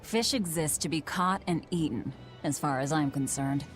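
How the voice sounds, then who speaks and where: A woman's voice: deep voice, normal speed, close to an everyday voice. A woman voicing a brooding, curt young female pirate in an anime game, blunt, dry and stern. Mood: dry, indifferent. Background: game music.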